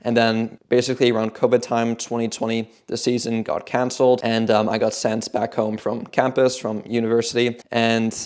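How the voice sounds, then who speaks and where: A man's voice: medium pitch, little pitch movement, normal speed, hardly any pauses, a little mellow. A man in his twenties from the Netherlands, a fitness coach giving a casual motivational talk, rambling and filling his sentences with "like" and "kinda". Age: 20s. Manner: rambling, heavy use of "like" and "kinda". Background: guitar music.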